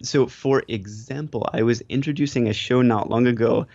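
A man's voice: medium pitch, moderate pace, darkish tone, dry recording, highs cut off. An American man, a guest on a podcast, chatting casually and telling self-deprecating stories. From United States. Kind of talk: casual chat.

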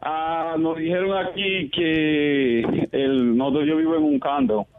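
Male caller from Brooklyn, dull band-limited line, somewhat high pitch, salty, informal, bilingual chat. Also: lively intonation, slow pace, gravelly, clear, resonant tone, no room sound. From Brooklyn, United States. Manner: salty, informal, drops English words. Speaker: radio show caller. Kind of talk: casual chat.

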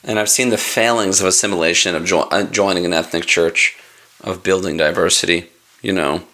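A man's voice: deep voice, fairly even intonation, fairly quick, bright, forceful tone. A man hosting a pastors' podcast, affirming his guest and asking open questions. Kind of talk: interview conversation.

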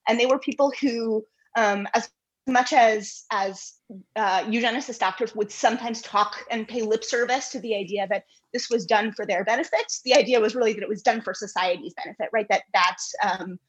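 A woman guest writer giving earnest, reflective interview answers in halting, carefully built phrases. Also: on the high side, slightly rough, lo-fi sound.

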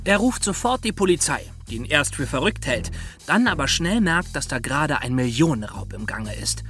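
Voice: medium pitch, very animated, moderate pace, fairly bright tone, soft background music. A podcast co-host delivering scripted, dramatic storytelling narration.

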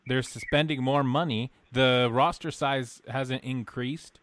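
A man's voice: medium pitch, fairly even intonation, takes it slowly, fairly bright tone, no echo. Agreeable man in dry close audio. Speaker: podcast co-host. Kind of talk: casual podcast chat.

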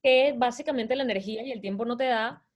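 A woman from Venezuela with a Venezuelan accent, a podcast guest talking in a reflective, measured way. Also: on the high side, muffled recording. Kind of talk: casual conversational interview.

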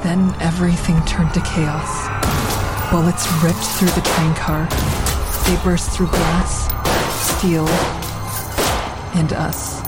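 Haunted, guarded audio drama heroine: slow, slightly low, loud music beneath. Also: female voice, level tone. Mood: shaken. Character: haunted, guarded woman who rescues suicidal jumpers. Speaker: voice actor. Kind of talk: audio drama acting, reflective narration.

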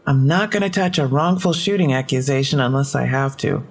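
A woman's voice: extremely low pitch, fairly even intonation, brisk. A woman acting a businesslike police detective in an audio drama, speaking in a matter-of-fact, slightly awkward way. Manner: matter-of-fact, clipped case briefing.

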